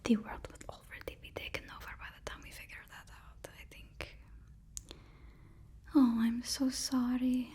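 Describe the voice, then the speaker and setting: A woman's voice: on the high side, little pitch movement, normal speed, mellow. A woman doing a whispered ASMR role-play as a supervillain's timid, apologetic assistant, speaking in a hesitant, placating way. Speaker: ASMR performer playing a villain's personal assistant.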